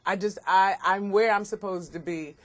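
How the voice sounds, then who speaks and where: A woman hosts a celebrity interview in a chatty, effusive way. She affirms what she hears and repeats her phrases for emphasis. Her voice sits at an average pitch. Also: fairly even intonation, normal speed. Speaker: radio and TV talk show host.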